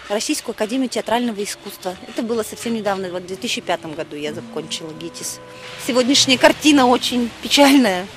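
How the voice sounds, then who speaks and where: A woman's voice: a little high, slight background noise. A woman from Russia, an actress, reminiscing expressively about her childhood home in a documentary interview, speaking outdoors in a residential neighborhood. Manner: nostalgic and expressive, with emphatic exclamations. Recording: background music under the voice.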